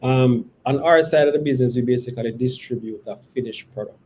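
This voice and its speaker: A man, speaking as a company executive answering investor questions in a measured, hesitant, careful way. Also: medium pitch. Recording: steady background noise.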